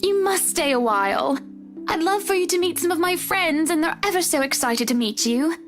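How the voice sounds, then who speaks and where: A gracious society hostess in a visual novel. Her voice is high, genteel and effusive, always politely insistent. Music sits faintly underneath. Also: expressive, normal speed. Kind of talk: visual novel game voice acting.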